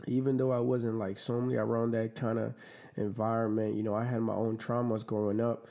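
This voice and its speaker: A man's voice: on the low side, level tone, brisk, gravelly, warm tone. A man from the Dominican Republic, a podcast guest talking in a laid-back, slangy way with many fillers. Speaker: podcast guest, clothing brand owner. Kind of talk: casual interview chat.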